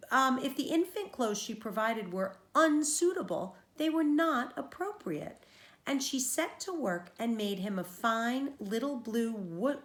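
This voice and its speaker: A woman with a slightly high voice reads a children's story aloud in a roomy, echoing space. She speaks as a teacher does to young pupils, explaining as she goes. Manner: explanatory, addresses young listeners with questions. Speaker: teacher reading aloud to a class.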